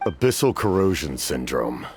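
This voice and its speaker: An actor playing a solemn, detached, knowledgeable warrior in a video game, speaking in a measured, formal manner. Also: male voice, rumbling low, very animated, darkish tone, soft background music. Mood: grave, clinical. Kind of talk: English video game voice acting.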